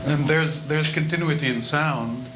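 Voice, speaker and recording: A man speaking as a panelist in a roundtable discussion, reflective and relaxed, with frequent "you know". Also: fairly high pitch, a little slow, background noise. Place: hall with audience.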